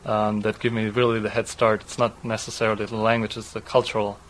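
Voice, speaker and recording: Low, flat-toned young German man. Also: quick pace. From southwest Germany. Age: 20s. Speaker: interviewee, doctoral student. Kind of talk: read passage, then unscripted personal account.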